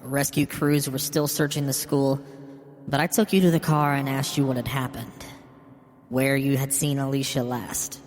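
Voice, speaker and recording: A man voicing a bitter, grieving old mother in a horror story, wounded and resentful, confessing haltingly. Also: medium pitch, level tone, voice pushed up, natural voice. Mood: accusing. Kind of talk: dramatic horror story reading. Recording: long hall reverb. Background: music.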